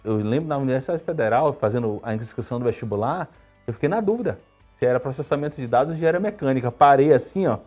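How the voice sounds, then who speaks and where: A man from Salvador in his fifties talks fast and fluently about his life, in a husky, mellow voice. He tells his story in a conversational way. Soft music plays faintly behind him. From Brazil (Salvador, Bahia). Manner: quick, storytelling, lively pitch swings. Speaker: interview guest, IT executive. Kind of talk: conversational interview answers.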